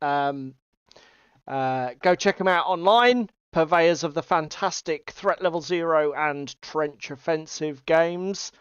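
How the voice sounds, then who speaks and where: A man's voice: a little high, takes it slowly, smooth, dry recording, muffled recording. A middle-aged man with an English East Midlands accent hosting a casual livestream, bantering with chat in a sweary, jokey, mock-exasperated way. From East Midlands, England. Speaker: livestream host. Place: small indoor room at home.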